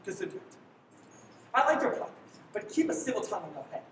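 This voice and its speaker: A woman's voice: sing-song, quick pace, natural voice. A young woman playing a brash, sardonic man on the run in a live radio play, bossy and theatrical. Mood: stern, threatening. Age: teens. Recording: echoey hall from far off, faint rumble.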